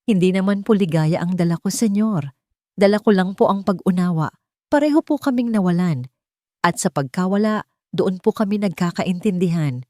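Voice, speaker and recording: A woman with a Filipino accent voicing a humble, soft-spoken young woman in love in an audio storybook. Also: lowish voice, expressive, moderate pace, dry recording, studio quality, natural voice. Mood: grateful, gentle.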